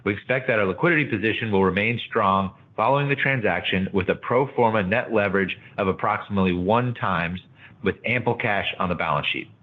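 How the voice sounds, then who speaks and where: Over a narrow, phone-like line, a male executive reads prepared financial remarks. His voice is fairly low and his delivery formal and measured. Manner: formal, measured corporate reading. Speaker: company executive presenting financials. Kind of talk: scripted earnings call remarks.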